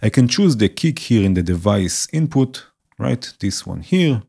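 Low-voiced man explaining software steps. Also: a little slow, vocal fry, husky. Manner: calm, explanatory, frequent "right" and "uh" fillers. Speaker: music production tutor. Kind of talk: screen-recorded software tutorial.

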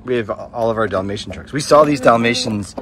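A young adult man who is a parent filming an outdoor toy unboxing and gently encouraging his children with questions. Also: mid-range voice, fairly quick, a crowd in the background. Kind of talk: casual chat with children. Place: outdoor wooden deck.

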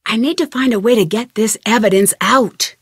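A woman playing a young, curious and determined amateur detective in a video game, thinking aloud in clearly articulated scripted lines. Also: fairly high pitch, moderate pace, dry recording, studio quality. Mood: musing, focused.

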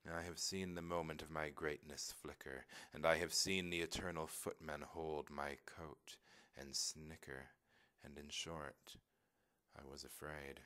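A man reading a poem aloud in a measured, expressive dramatic recitation, with phrases that often trail off quietly. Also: rumbling low. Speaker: poetry reader.

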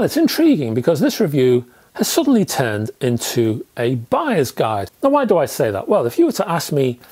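A man's voice: medium pitch, darkish tone. A man in his fifties presenting a scripted product review to camera in an emphatic, conversational manner with rhetorical asides. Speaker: audio equipment reviewer. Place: small indoor room with record shelves.

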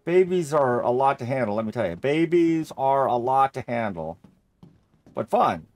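A man's voice: medium pitch, big pitch swings, moderate pace, darkish tone. A middle-aged man giving casual, rambling, easily amused commentary as he plays a game. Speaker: gamer playing through a game.

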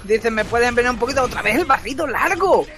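Excitable high bright fighting-game commentator. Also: male voice, fast talker, a little hiss or hum. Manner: excitable, jokey, shouts at big moments. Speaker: esports commentator. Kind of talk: fast play-by-play commentary.

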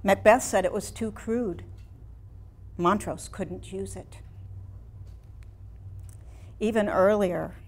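A woman in her sixties playing an ailing, reflective elderly woman painter in a staged dramatic monologue, with theatrical, measured delivery and long pauses. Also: medium pitch, darkish tone. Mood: regretful. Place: small indoor room before a seated audience.

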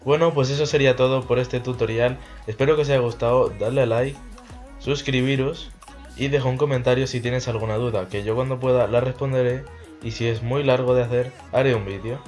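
A man's voice: medium pitch, steady tone, fairly quick, darkish tone. A young man with an accent from Spain, presenting a casual video game tutorial as host over background music.

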